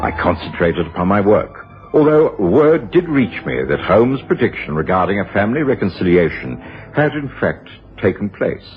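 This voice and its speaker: Earnest Victorian gentleman narrator, low lively voice, formal radio drama, dull recording with music. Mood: reflective. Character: Watson, gentlemanly Victorian companion and storyteller, earnest.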